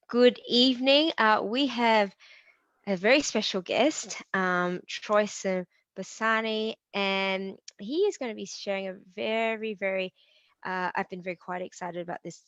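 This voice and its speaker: A woman's voice: medium pitch, fairly bright tone, no room sound. Woman hosting a live webinar, dry lo-fi sound. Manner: hesitant, many restarts and fillers. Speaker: host.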